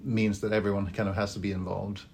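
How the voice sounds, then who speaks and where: A man in his thirties with a Swedish accent, answering interview questions. His voice sits low and stays fairly flat. He pauses often and restarts his sentences as he thinks. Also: creaky, a little hiss or hum. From Sweden. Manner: thoughtful, hesitant with frequent fillers and restarts. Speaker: library director.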